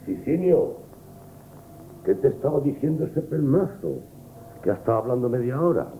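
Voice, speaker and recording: An actor playing a bossy, blustery grocery owner in a radio comedy, with a Spanish accent. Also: male voice, medium pitch, animated, moderate pace, warm tone, lightly acted. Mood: irritated. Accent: Spain. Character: gruff, impatient grocery shop owner Nemesio. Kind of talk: comic radio theatre acting. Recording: noisy old radio drama tape.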